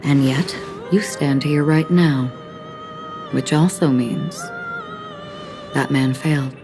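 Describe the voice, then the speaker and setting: A woman playing a melancholic lone wanderer in a video game, speaking in a measured, grave, reflective way with weighty pauses. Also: deep voice, music in the background. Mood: calm, melancholic. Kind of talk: video game cutscene voice acting.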